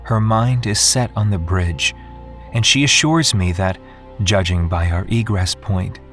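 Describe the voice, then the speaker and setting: An actor playing an introspective researcher, giving a measured, contemplative first-person narration in an audio drama. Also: male voice, fairly low pitch, fairly bright tone. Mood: solemn, tense. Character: solemn, introspective male researcher recounting his ordeal. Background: music.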